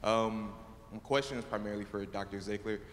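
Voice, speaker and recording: A man's voice: on the low side, fairly even intonation, brisk, slight background noise. A man in the audience politely asking the panel a question, hesitant and full of fillers. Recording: echoey hall, long reverb.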